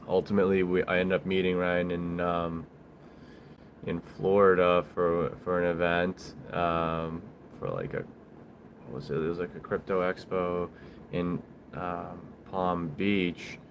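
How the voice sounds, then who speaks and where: Male livestream host, low register, unscripted and halting delivery, loose conversational phrasing, faint noise behind the voice. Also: flat delivery. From Bulgaria. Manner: halting, rambling, informal. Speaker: livestream host, small business owner. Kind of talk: casual livestream chat answering viewers.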